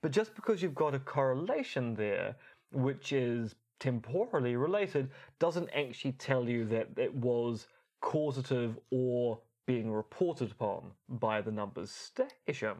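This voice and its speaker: A man's voice: mid-range voice, expressive, measured pace, darkish tone. A man co-hosting a podcast, giving commentary in a wry, articulate way with rather formal phrasing. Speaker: podcast co-host.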